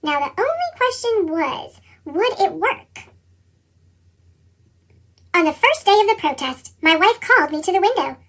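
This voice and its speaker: A reader with a squeaky, pitched-up chipmunk effect, narrating a children's picture book and acting out the dialogue expressively. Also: a little slow, pauses often, full character voice. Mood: earnest, determined. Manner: expressive storytelling, acts out dialogue.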